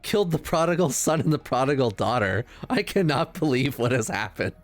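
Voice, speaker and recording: Lively-pitched man playing a tabletop game. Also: a little fast, soft background music. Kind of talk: casual, excitable game chat.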